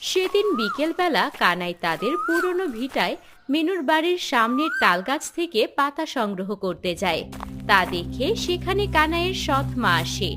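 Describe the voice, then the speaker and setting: A woman narrates a scripted tale in storybook style. Her voice is on the high side and animated, with music playing clearly behind. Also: normal speed.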